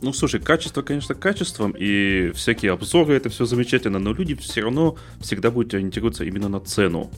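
A man's voice: on the low side, expressive, quick pace, few pauses, faint music behind. A man co-hosting a casual discussion, making his argument in an opinionated way with examples. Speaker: podcast co-host.

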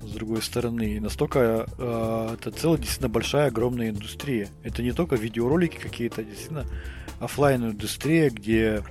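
A man's voice: mid-range voice, brisk, darkish tone, faint music behind. A man hosting a casual podcast, talking in a reflective, conversational way. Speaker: podcast host.

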